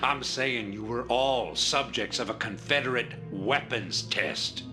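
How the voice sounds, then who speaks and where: An actor playing a calculating, commanding rebel leader in a video game, speaking in a measured, authoritative, oratorical way. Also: male voice, medium pitch, music in the background, natural voice. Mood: resolute, accusing. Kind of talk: video game cutscene acting.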